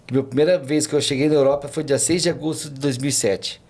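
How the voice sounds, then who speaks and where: A man's voice: a little high, steady tone, fast, darkish tone. A man, a podcast guest telling travel stories in a rambling casual way, full of fillers and restarts. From Brazil. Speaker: podcast guest, tour guide. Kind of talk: casual chat, storytelling.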